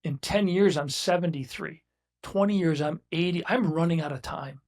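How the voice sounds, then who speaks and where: A man's voice: a little high, moderate pace, darkish tone. Blunt, emphatic man in his sixties. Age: 60s. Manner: blunt and emphatic, with frequent repeated restarts. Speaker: guest, business owner. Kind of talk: casual conversational interview.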